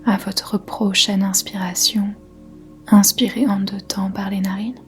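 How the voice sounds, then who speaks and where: A woman guiding a yoga nidra meditation in a soft, breathy, whispery voice, calmly and in measured short phrases with gentle pauses. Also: medium pitch. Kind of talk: guided meditation, slow soothing instruction. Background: faint music.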